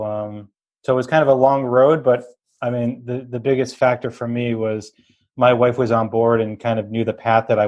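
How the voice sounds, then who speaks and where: Guest: relaxed man, mild Boston accent, chatty investor, mid-pitched, quick to laugh. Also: dull audio. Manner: laughs often, easygoing. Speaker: podcast guest, real estate investor. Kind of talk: casual interview chat.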